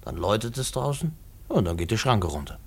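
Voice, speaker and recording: Dutiful railway crossing keeper, radio play, husky, lively intonation, terse working-man plainness. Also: lowish voice, fast talker, full character voice. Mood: dismissive, puzzled. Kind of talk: German radio play acting.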